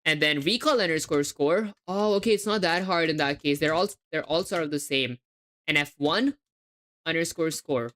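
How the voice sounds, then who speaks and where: Young woman, hybrid accent, lowish voice, live coding, spells things out, chats with viewers. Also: normal speed, a bit bright. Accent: hybrid, hard to place. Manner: spells words letter by letter, chatty asides to chat. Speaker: livestreaming coder. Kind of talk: live coding stream, thinking aloud.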